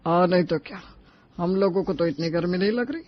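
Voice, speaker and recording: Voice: mid-range voice, brisk, no room sound, highs cut off, natural voice. A performer voicing a dismissive, complacent older Indian father-in-law in a Hindi cartoon story.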